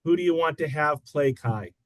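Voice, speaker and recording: A man hosting a library author interview over a video call, asking questions in a halting way full of fillers. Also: fairly high pitch, dry recording. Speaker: library event host.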